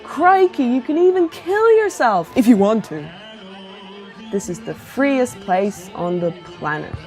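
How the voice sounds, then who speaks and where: A woman's voice: fairly high pitch, big pitch swings, unhurried, a little mellow, soft background music. A woman hosting a show, presenting scripted links in a theatrical manner. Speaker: show host.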